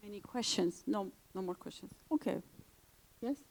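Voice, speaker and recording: A woman's voice: mid-range voice, animated, normal speed, a little hiss or hum. A woman moderating a conference panel, friendly and a little hesitant, with many fillers.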